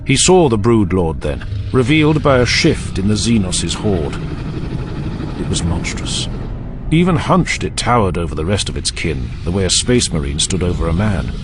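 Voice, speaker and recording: A man's voice: deep voice, big pitch swings, moderate pace, background noise. A man narrating a scripted audio drama in a theatrical, emphatic, intense manner.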